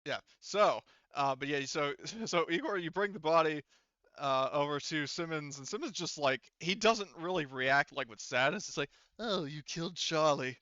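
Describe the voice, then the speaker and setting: A man's voice: fairly high pitch, expressive, moderate pace, bright, forceful tone, clear, resonant tone, no echo, highs cut off. A man speaking as game master, running a tabletop role-playing game and explaining rules and outcomes to players.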